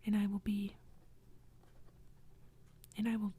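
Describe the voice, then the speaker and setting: A woman's voice: little pitch movement, mellow, slightly rough. A woman voicing a frightened village boy, whispering in a raised, pleading tone. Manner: whispered, higher and hurried, pleading.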